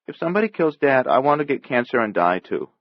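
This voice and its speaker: A man's voice: mid-range voice, big pitch swings, fast talker, pitched above the performer's own voice, close to an everyday voice. A man acts out a small, anxious boy in an audiobook story. The voice is lifted and childish, pushing stubbornly with question after question. The recording is roomy and a little muffled. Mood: earnest, morbid. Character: small seven-year-old boy, anxious, stubborn, pestering.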